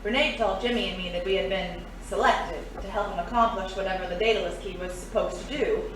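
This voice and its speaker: Shrewd, world-weary lady crook for female actor, mid-pitched, matter-of-fact audio-drama delivery, roomy and off-mic. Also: fairly quick, distant mic, close to an everyday voice. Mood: knowing. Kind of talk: pulp adventure audio drama acting. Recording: echoey, off-mic, faint noise.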